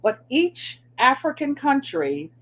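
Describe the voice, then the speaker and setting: Woman, middle-aged, New England accent, nasal, slow-paced, chatty live craft host. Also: high-pitched, vocal fry, fairly bright tone, rough recording. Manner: chatty, unhurried, talks to viewers by name. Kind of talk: casual live craft demo chat.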